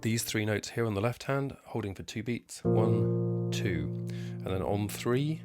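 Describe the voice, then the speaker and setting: A man with a low, hoarse voice explains a song at the piano in a relaxed, halting manner and counts the beats aloud. Piano playing sounds clearly behind his voice, recorded at home. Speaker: piano tutorial host. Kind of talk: casual piano tutorial. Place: at home, indoors.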